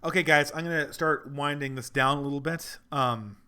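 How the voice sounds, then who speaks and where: A man's voice: a little high, normal speed, sharp tone, clear, resonant tone. A man hosting a casual livestream Q&A, losing his voice, rambling earnestly and thinking aloud as he answers viewers. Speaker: livestream host reading donations.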